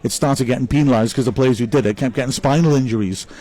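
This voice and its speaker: A man's voice: mid-range voice, fast, mellow. Male club commentator, conversational and upbeat, running through players and reading viewer messages. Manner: chatty, upbeat, praises players one by one.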